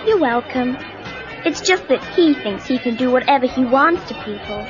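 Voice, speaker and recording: A woman's voice: high voice, expressive, moderate pace. An actress playing a friendly, frank teenage girl with an American accent and casual delivery in an audio story. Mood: friendly, confiding. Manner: casual, direct. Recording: dull cassette, music and crowd behind.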